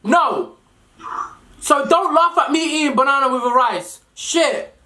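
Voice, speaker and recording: A woman's voice: high-pitched, lively intonation, a little slow, sharp tone. A young woman from Somalia hosting her vlog, eating while she teases a stranger on a video call in a playful, mock-indignant way. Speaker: vlogger host. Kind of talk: casual video chat with a stranger. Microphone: webcam video call. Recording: echoey room with background music.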